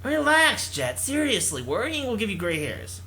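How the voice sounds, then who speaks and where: A man's voice: sits high, wildly swooping pitch, moderate pace, gravelly, bright, forceful tone, pitched above the performer's own voice, heavily acted. A man voicing a cocky, carefree male pro gamer with a breezy, dismissive manner.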